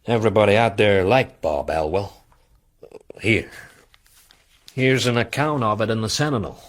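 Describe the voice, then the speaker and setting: An actor in a radio drama playing a blunt businessman, husky and folksy. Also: lowish voice, normal speed, natural voice. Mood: matter-of-fact. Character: blunt American businessman bearing grim news.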